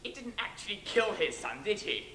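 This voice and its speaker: A man's voice: high voice, very animated, moderate pace. A man playing a fussy, prim father in a stage pantomime, marshalling his children. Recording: echoey, noisy stage recording.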